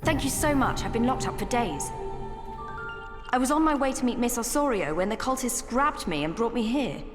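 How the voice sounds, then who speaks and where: A woman performs a shaken but formal envoy from a video game, earnest and grateful. Her slightly high voice rings with long reverb. Music plays clearly behind it. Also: animated, normal speed, lightly acted. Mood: relieved, shaken. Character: shaken, grateful rescued female council envoy. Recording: long echoing hall reverb.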